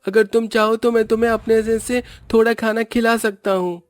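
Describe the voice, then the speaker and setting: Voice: medium pitch, little pitch movement, normal speed, no room sound, faint music behind, close to an everyday voice. Cartoon rich kid boy done by a voice actor, bragging and teasing, very dry recording. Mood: smug, friendly. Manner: boastful, taunting. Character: boastful rich young boy who loves hotel food. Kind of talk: cartoon story voice acting.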